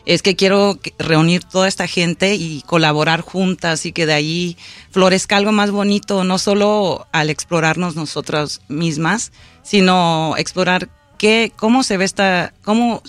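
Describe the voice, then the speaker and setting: Woman guest, halting, restarts, mixes English, soft music behind. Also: on the low side, no room sound. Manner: hesitant, many restarts and fillers, mixes in English. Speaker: festival organizer and musician. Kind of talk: casual interview guest.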